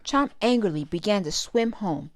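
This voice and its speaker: A woman reading a children's storybook aloud as a storytime host, with expressive, careful phrasing. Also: medium pitch, moderate pace, slight background noise.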